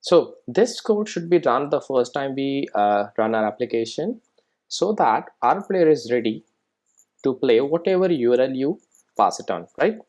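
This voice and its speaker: Informal tutorial presenter with medium pitch. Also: sing-song, moderate pace, darkish tone. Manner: explanatory, often checking in with "right?" and "okay". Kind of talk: informal step-by-step screencast tutorial narration.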